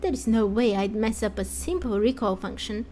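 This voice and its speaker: A woman's voice: on the high side, moderate pace, mellow, slight background noise, voice pushed down, close to an everyday voice. A woman voicing a breezy, boastful young female mechanic from a fantasy game, talking glibly. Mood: defensive, proud. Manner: boastful, glib. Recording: music under the voice.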